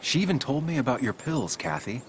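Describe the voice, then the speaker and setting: An actor playing a gentle, weary, consoling man in a dramatic video game, speaking in a measured and reassuring way. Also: mid-range voice, big pitch swings, slight background noise, lightly acted. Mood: cautious, frank.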